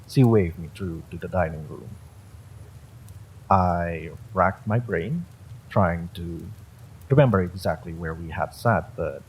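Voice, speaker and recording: A man's voice: low voice, a little hiss or hum, low-quality recording. A man reading a first-person story aloud as a dramatic narrator. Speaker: story reader.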